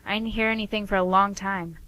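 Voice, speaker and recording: A woman's voice: medium pitch, steady tone, brisk, sharp tone, close to an everyday voice, rough recording. A woman playing a caring, easily offended sister, earnest and reproachful, in an amateur radio play. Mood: concerned, reproachful.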